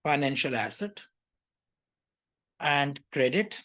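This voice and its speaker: Accounting tutor, mid-pitched voice, didactic, explanatory lecture style, checks understanding. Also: expressive, takes it slowly, fairly bright tone. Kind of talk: explanatory lecture working through an exam question.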